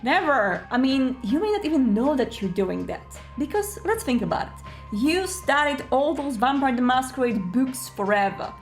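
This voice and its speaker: A woman presenting scripted roleplay tips in an animated way, addressing the listener directly with playful rhetorical asides. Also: fairly high pitch. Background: background music.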